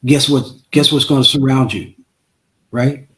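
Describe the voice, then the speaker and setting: A man's voice: mid-range voice, steady tone, a little slow. A man, a musician guest on an interview podcast, talking emphatically, repeating himself and checking with "right?". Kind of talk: casual interview chat.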